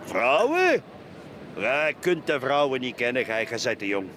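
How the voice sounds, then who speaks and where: A man's voice: mid-range voice, huge pitch swings, measured pace, a bit bright, a little hiss or hum. An actor playing a grumpy, prudish middle-aged man in a Flemish radio play, blustering and muttering with a Flemish accent. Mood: indignant. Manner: blustering, grumbling, exclaims and mutters. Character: grumpy, prudish, boastful heavyset middle-aged bourgeois beach-café customer.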